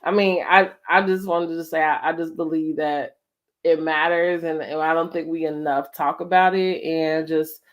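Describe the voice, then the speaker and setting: A woman's voice: deep voice, flat delivery, moderate pace. A woman with an American accent hosting a casual interview, talking conversationally with lots of "like" and "you know". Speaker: host of a family storytime interview.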